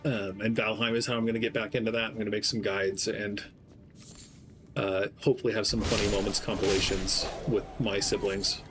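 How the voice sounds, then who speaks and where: A young man streaming a game, talking in a gentle, soft-spoken, rambling way with lots of ums. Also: on the low side, little pitch movement, fairly quick, background noise. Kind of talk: casual gameplay stream chat. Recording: game music playing behind. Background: game music.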